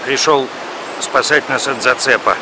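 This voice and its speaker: A man's voice: mid-range voice, measured pace. A man casually narrating a fishing outing as he films it outdoors, adding wry remarks. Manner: wry, commenting on what he films. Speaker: person filming a fishing trip. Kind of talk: casual narration while filming. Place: outdoors by a river. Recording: outdoor recording with loud stream noise.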